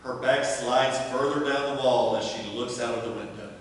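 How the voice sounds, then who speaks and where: A man's voice: mid-range voice, normal speed. A man reading out stage directions as scripted narration at a table reading of a play. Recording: echoey hall from far off, clear noise.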